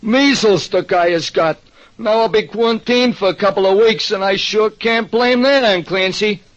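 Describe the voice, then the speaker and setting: A man voicing a gloomy, excitable working-class American diner owner in 1940s radio style, plaintive and slangy. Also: high-pitched, normal speed, voice pushed up, natural voice. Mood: gloomy, hurt. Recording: dull, noisy old radio recording.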